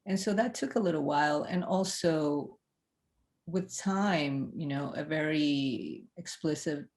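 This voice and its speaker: A film director who grew up in Ethiopia, speaking in a recorded festival Q&A, thoughtful and hesitant with many fillers and restarts. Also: female voice, low-pitched, measured pace, darkish tone.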